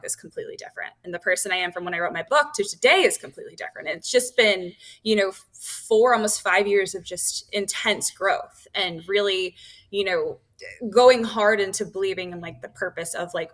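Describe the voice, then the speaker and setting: A woman's voice: lowish voice, bright, forceful tone. A woman in her thirties, a podcast guest and author, talking candidly and enthusiastically with lots of fillers. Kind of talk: casual interview conversation.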